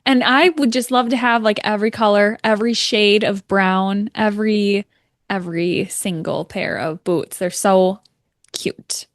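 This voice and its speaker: A woman's voice: mid-range voice, steady tone, no room sound. A woman in her thirties from Minnesota, hosting a podcast and chatting in a friendly, agreeable way. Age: 30s. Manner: friendly, agreeable, quick backchannels. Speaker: podcast host. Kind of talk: casual interview chat.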